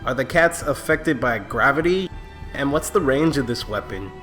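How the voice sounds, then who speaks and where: A young man with a stuffed-up, sniffly nose talks straight to his viewers in a loose, rambling way, full of fillers and restarts. His pitch sits in an ordinary middle range, and faint music plays underneath. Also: expressive, moderate pace, a bit bright. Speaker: YouTube channel creator. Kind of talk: casual vlog address to viewers.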